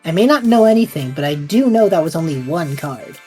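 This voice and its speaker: A woman's voice: deep voice, expressive, brisk, mellow. A woman voicing a sarcastic, exasperated young man with a dry, weary delivery. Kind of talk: audio drama acting. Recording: faint music behind.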